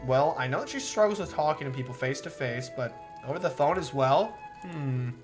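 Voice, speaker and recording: A woman streamer chatting casually while playing a visual novel, rambling and swearing, with a soft, relaxing voice. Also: sits low, expressive, brisk, music in the background. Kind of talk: casual livestream commentary over a visual novel.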